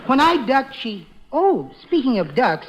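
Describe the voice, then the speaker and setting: Meek, henpecked little husband, noisy old radio. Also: male voice, extremely high pitch, sing-song, unhurried, dull audio, heavily acted. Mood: sly, timid. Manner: mild, prim, hesitant, apologetic delivery. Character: meek, timid henpecked little husband, fussy bird lover. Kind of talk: 1940s radio comedy acting.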